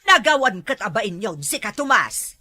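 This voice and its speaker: A woman's voice: mid-range voice, big pitch swings, moderate pace, bright, forceful tone. A woman with an Ilocano accent playing a fed-up, scolding village wife in an amateur comedy drama. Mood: indignant.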